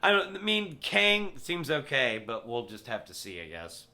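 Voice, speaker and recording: Guy podcaster rambling casually about movies, gravelly edge, kinda sharp bright voice. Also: mid-range voice, huge pitch swings, moderate pace. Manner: rambling, informal, peppered with filler words. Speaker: podcast co-host. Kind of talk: casual movie review chat.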